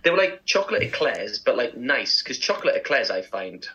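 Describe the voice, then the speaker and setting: Man, bright forward tone, slightly husky, chatty and enthusiastic, casual radio chat. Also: mid-range voice, big pitch swings, fairly quick, highs cut off. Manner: chatty, enthusiastic food talk. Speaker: radio show co-host.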